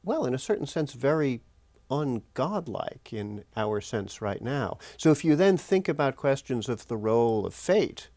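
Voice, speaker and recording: A man's voice: mid-range voice, big pitch swings, unhurried, darkish tone, dry recording. A man speaking as an erudite guest scholar in an interview, explaining things with careful qualifications and self-corrections.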